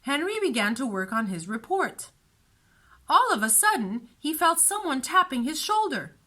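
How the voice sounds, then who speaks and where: A woman storyteller narrating a children's storybook in an expressive, deliberate way. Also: sits high.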